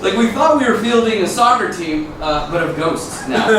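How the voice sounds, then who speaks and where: A man's voice: sits high, lively intonation, slow. A young adult man, a native Spanish speaker from Mexico, doing a self-deprecating stand-up set with a dry delivery and nervous chuckles. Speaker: stand-up comedian. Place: comedy club stage. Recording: echoey club, mic far off, loud room noise. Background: audience laughter.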